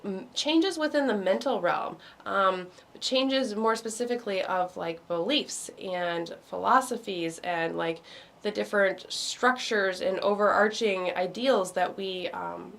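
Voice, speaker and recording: A woman hosting an online astrology meditation, talking casually with many ums and restarts, her voice going hoarse. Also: medium pitch. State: losing her voice. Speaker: meditation host. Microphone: video call.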